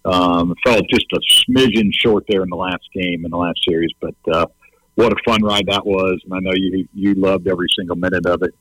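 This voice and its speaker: Man, very deep voice, easygoing radio co-host, teasing chat, dry sound. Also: fairly even intonation, a little fast, a bit bright, husky, no room sound, poor audio.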